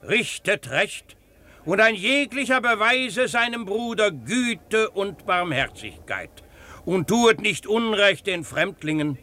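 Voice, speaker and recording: Stern village pastor, admonishing and solemn, high-pitched and penetrating, for radio drama. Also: male voice, sing-song, slow pace, sharp tone, heavily acted. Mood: solemn, preaching. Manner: preaching, admonishing. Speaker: actor playing a pastor. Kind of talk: German radio drama acting.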